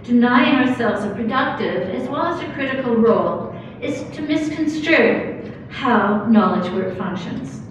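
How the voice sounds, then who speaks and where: An older woman reads her academic talk from notes in a measured, careful way. Her voice sits a little high. The recording is very roomy and distant, with a faint hiss under it. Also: expressive. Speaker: academic speaker. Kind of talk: reading a prepared lecture. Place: lecture hall at a lectern. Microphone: lectern microphone. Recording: echoey hall, camera far off.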